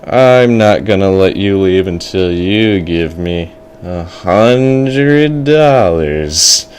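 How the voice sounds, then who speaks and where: A man voicing a kooky, sinister old fortune teller in a told story, making drawn-out, ominous pronouncements with false kindliness. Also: sits low, animated, a little mellow, a little hiss or hum, full character voice. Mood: ominous, menacing.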